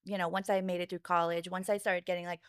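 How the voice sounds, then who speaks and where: A woman's voice: on the low side, level tone, fast, smooth, no echo. Casual woman podcast guest, dry sound. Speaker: podcast guest, video director and producer. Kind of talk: casual conversational interview.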